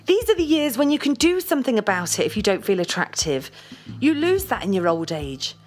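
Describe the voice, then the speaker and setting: A woman's voice: mid-range voice, big pitch swings, brisk. An actress playing a blunt, domineering, self-assured girlfriend who scolds and swears in an audio drama. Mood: insistent. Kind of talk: dramatic audio play dialogue.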